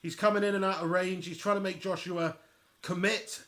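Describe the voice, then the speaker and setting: A man giving chatty, excitable live commentary on a boxing match and talking straight to his viewers. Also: sits high, normal speed. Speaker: livestream fight commentator.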